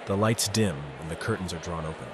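A man's voice: low voice, fast talker, noisy background. A man reading scripted first-person narration in a wry, exasperated manner, as narrator of a voiced visual novel. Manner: wry, exasperated, sweary teenage protagonist.

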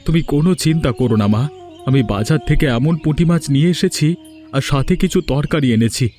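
Cartoon casting: man as devoted grown son, reassuring, slightly high male voice. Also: a little fast, darkish tone, faint music behind, natural voice. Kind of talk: Bengali cartoon dialogue.

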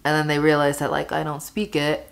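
Low-voiced young woman chatting over makeup. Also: completely flat delivery, brisk, vocal fry. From family from Panama, raised in America. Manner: rambling, lots of "like" fillers. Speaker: beauty vlogger. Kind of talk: casual get-ready-with-me chat while doing makeup. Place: bedroom at home, indoors.

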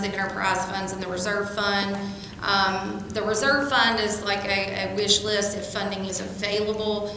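A woman city clerk explains a budget process in an even, procedural way, filling pauses with ums. Her voice holds a flat, narrow pitch. It rings through a large, reverberant council chamber with clear room noise behind it. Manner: steady, procedural, with frequent ums. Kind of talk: meeting procedure and budget presentation. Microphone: desk mic.

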